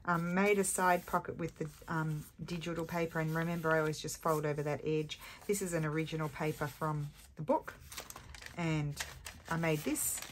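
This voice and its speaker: A woman's voice: low voice, fairly even intonation, normal speed. A woman with a scratchy voice hosting a casual craft tutorial, chatting and thinking aloud as she works at her table. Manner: chatty, self-mocking, thinks aloud while working. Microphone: overhead camera on a desk.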